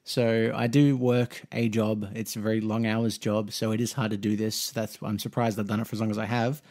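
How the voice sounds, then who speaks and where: A man's voice: lowish voice, little pitch movement, brisk, darkish tone. Australian man, twenties, casual gaming vlog, rambling, chatty. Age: 20s. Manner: rambling, with frequent restarts and fillers; easygoing, chatty. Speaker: mobile gaming YouTuber.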